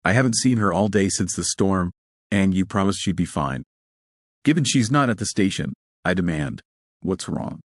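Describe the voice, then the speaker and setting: Man as blunt, insistent young man, low-voiced. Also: expressive, normal speed, no echo, clean studio sound. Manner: direct, insistent.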